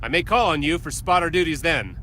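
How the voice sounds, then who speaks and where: An actor playing a stern military captain in a video game, giving a formal, authoritative briefing with clipped delivery. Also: male voice, a little high, lively intonation, a little fast, sharp tone, slight background noise. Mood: stern, commanding.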